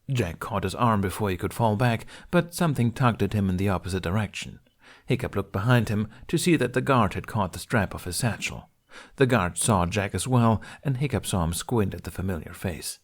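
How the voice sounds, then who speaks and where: A man reading scripted narration of an adventure story as a podfic narrator. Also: on the low side.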